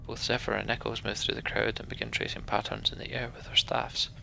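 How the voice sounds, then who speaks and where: A man narrating and voicing a story game, with lively, dry, offhand asides. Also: on the low side, a little fast, creaky, whispered. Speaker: let's play narrator. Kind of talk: voiced game reading and commentary.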